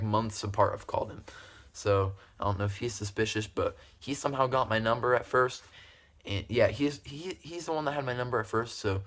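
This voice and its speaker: Young man, slightly low voice, casual storytelling, rambling, repeats himself, giggly. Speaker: video creator telling stories.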